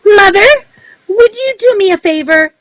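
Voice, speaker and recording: A woman playing an excited little girl. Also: very high-pitched, normal speed, dry recording. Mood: pleading. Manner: childlike and pleading.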